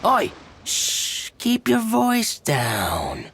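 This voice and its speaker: An actor playing a shady, self-important trader in a video game, raspy and deliberately conspiratorial. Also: male voice, sits high, wildly swooping pitch, fairly bright tone, slight background noise, full character voice. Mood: secretive, sly.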